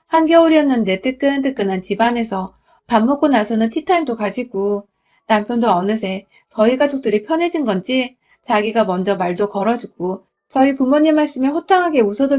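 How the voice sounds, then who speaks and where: A young woman from Gwangju narrating a first-person story in a smooth, confiding reading voice. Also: on the high side, a little mellow. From Gwangju, South Korea.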